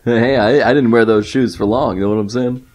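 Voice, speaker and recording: A man co-hosting a casual comedy podcast, tossing in dry deadpan asides between bursts of laughter. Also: mid-range voice, a little fast. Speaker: podcast co-host.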